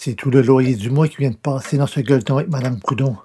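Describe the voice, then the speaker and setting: Man acting a flustered, hapless columnist, dark-toned voice. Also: medium pitch, fast talker, slight background noise, lightly acted. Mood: grumbling. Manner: hesitant, muttering, then blurting out. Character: flustered, hapless male newspaper columnist, grumbling to himself. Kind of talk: comedy radio play acting in old-time radio format.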